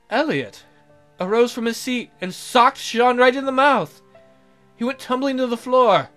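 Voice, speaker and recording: Guy doing a funny lets play, reading a story out loud super theatrical, highish bright voice, bit of music under it. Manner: theatrical, jokey, exaggerated. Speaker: let's play host. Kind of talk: comedic read-aloud of a visual novel with joking asides.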